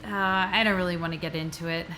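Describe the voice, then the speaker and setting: A woman's voice: fairly low pitch, fairly even intonation, quick pace, pushed and bright, slight background noise, rough recording. An actress playing a conflicted, evasive wife and mother in a musical audio drama, speaking in a hesitant, dry, guarded way. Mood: uneasy, evasive.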